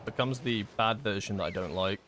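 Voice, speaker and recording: A young man giving casual livestream commentary while gaming, chatty and self-deprecating with excitable outbursts, his voice going hoarse. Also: lowish voice, brisk, a little hiss or hum. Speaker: gaming streamer.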